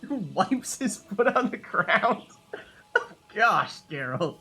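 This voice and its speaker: A man's voice: high voice, big pitch swings, slow, pushed and bright, breathy edge, faint music behind. A man giving casual live commentary on a video game, chatting and thinking aloud as he plays. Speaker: game streamer, host.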